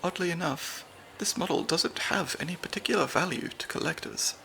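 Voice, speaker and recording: A man's voice: a little high, fairly quick, vocal fry, slight background noise. A young man voicing a nerdy, slightly anxious stand-in spy handler with dry wit, in an ASMR audio roleplay. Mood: analytical. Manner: analytical, dry wit, soft-spoken.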